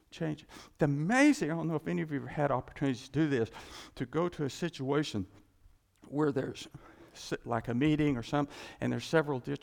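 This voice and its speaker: A man's voice: medium pitch, vocal fry. A man preaching a casual sermon from the United States, rambling and restarting, chuckling as he tells his stories. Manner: rambling, self-interrupting, chuckling storyteller. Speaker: pastor. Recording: long hall reverb.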